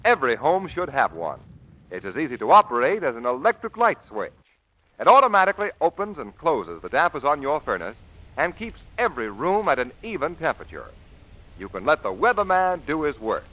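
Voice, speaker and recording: A man's voice: on the high side, very animated, fairly quick, sharp tone, highs cut off. A man reading a 1940s radio advertisement as an announcer, with emphatic salesmanship. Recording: vintage radio recording, faint noise.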